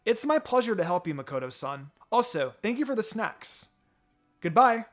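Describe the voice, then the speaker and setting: A man's voice: high voice, very animated, moderate pace, fairly bright tone, voice pushed up. A man voicing a polite, eager young ninja girl in an earnest, exclaiming manner for a story reading. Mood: cheerful, polite.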